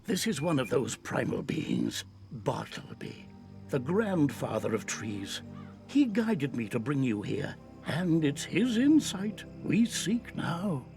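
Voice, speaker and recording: An actor playing a wise, kindly mentor in a video game, telling lore in a measured, theatrical way. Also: male voice, fairly high pitch, gravelly, soft background music, heavily acted. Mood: solemn storytelling. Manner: measured, expressive storytelling. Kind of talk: video game character acting.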